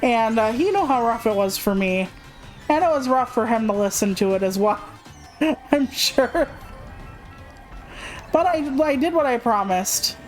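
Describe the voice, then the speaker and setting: A woman's voice: a little high, animated, unhurried, pauses often, soft background music, low-quality recording. A woman livestreamer chatting casually in a candid, rambling, self-deprecating way, swearing freely. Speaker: video game livestreamer.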